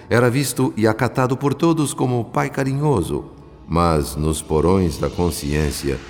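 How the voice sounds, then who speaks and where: A man's voice: low-pitched, darkish tone. A man with a Brazilian accent narrating a radio drama as a solemn observing spirit, in a literary, theatrical manner. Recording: long reverb, music underneath. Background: music.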